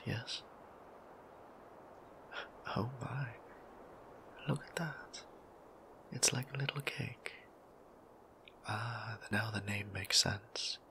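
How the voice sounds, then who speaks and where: A man voicing a gentle, formal male vampire priest in a whispered ASMR roleplay, speaking in old-fashioned, softly teasing phrasing. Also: lowish voice, noisy background. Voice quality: soft whisper, gravelly. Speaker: voice actor.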